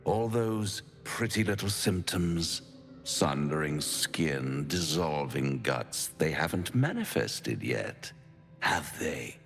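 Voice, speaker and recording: An actor playing a suave, pompous devil in a video game, teasing and menacing in a florid, silky delivery. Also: male voice, very deep voice, lively intonation, takes it slowly, long reverb tail, soft background music, exaggerated voice. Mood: mocking menace. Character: suave, theatrical male devil, smug, menacing tempter. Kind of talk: theatrical video game acting.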